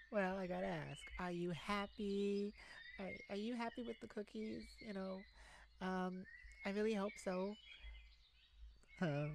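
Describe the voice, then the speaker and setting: A woman playing a silly, affectionate, giggly and bashful young boyfriend in an ASMR romance roleplay. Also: mid-range voice. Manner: giggly, bashful, hesitant, gushing. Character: silly, playful, affectionate young boyfriend surprising his partner. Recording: clearly noisy, ambient sounds behind.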